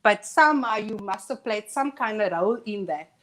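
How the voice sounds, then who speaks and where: A woman's voice: mid-range voice, expressive, normal speed, a bit bright. Earnest woman co-hosting a live talk show. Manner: earnest, reflective, thinks aloud. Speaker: co-host. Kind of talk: casual discussion on a live show.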